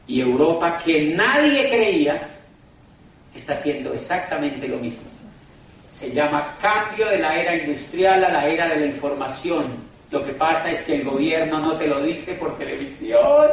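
A man with a rather high voice delivers an emphatic, sermon-like business pep talk to a live audience. He drags out key words and keeps addressing the crowd directly. The recording is distant and booming with room echo, with faint noise underneath. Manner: dramatic slowdowns and pitch swings for emphasis. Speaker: business motivational speaker. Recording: echoey hall from far off, faint noise.

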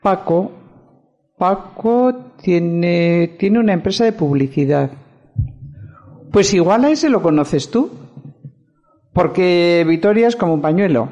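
A woman's voice: fairly low pitch, animated, takes it slowly, pauses often, dark tone, highs cut off. A woman with a Spanish accent playing a firm, defensive lawyer in an amateur radio soap opera. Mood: casual, weary. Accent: Spain.

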